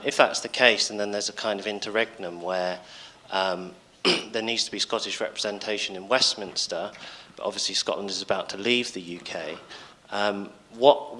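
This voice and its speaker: Low, bright-toned man asking a question. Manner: hesitant, frequent ums. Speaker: audience member asking a question. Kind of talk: question from the floor.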